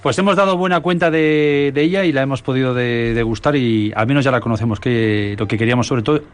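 A man hosting a radio show, chatting in a brisk, effusive way. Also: medium pitch. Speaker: radio host. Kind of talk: radio chat.

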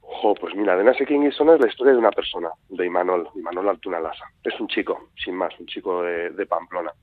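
Fast-talking man on tinny radio. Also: deep voice. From Madrid. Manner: chatty, animated storyteller. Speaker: guest author. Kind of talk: radio interview. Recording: tinny phone-like radio sound.

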